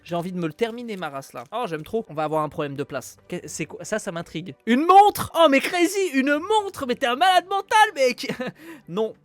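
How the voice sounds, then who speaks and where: A man streaming live, chatting casually as he unboxes a package, with loud exclamations and casual swearing. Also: very high-pitched, animated, normal speed. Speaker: streamer opening a viewer's package.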